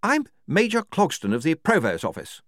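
A man voicing a brisk, polite young army major in a storybook, courteous and officious. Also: fairly high pitch, wildly swooping pitch, fairly bright tone, no echo, studio quality, voice pushed up. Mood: courteous, official.

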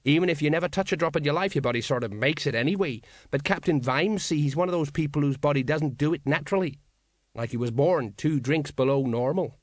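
A man voicing a gloomy, plain-spoken older watch sergeant, flat and colloquial, in a storybook reading. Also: fairly high pitch, fairly quick, dry recording, studio quality, natural voice. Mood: glum explaining.

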